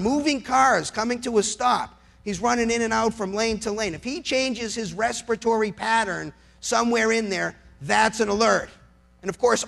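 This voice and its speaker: American guy doing a fired-up political speech, high voice w/ lots of gravel, punchy and dramatic. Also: fairly bright tone. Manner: emphatic, dramatic, rhetorical questioning. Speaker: public speaker, lawyer. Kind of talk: impassioned political speech to an audience.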